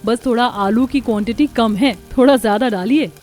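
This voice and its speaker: A woman's voice: on the high side, normal speed, darkish tone, natural voice. A woman voicing a hardworking, hopeful and respectful young daughter-in-law in a Hindi village cartoon. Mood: hopeful, practical. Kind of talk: Hindi moral-story cartoon dialogue. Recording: music behind the voice.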